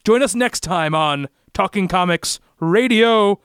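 A man's voice: sits high, animated, unhurried, background noise. A man narrating a comic-book radio play in a theatrical, bombastic style.

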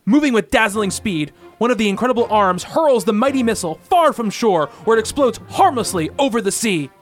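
A man's voice: high voice, expressive, fairly quick. A man delivers dramatic comic-book narration for a radio play. His style is grandiose and theatrical, like scripted serial storytelling. Faint music plays behind the voice.

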